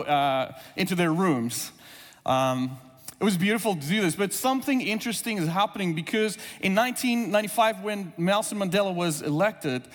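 A man's voice: high voice, in a large hall. A South African man whose first language is Afrikaans, preaching a sermon in a conversational, storytelling way with asides and fillers. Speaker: pastor.

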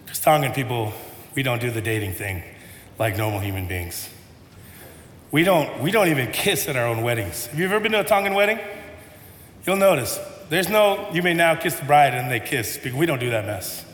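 A man's voice: medium pitch, animated, brisk, fairly bright tone, a little hiss or hum. A man preaching a sermon as pastor, telling a story and then building to an impassioned appeal. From United States. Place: church hall. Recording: echoey hall with music.

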